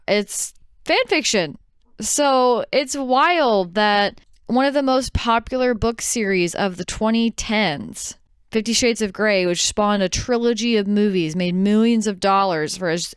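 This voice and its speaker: A woman's voice: mid-range voice, smooth, dry recording, clean studio sound. A woman podcast host talking casually and conversationally, explaining things to her co-hosts and listeners.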